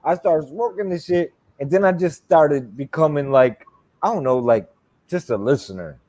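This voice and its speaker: A man's voice: on the high side, lively intonation, moderate pace, dark tone. A man in his forties telling stories in a loose, laughing way, swearing freely in casual chat. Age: 40s.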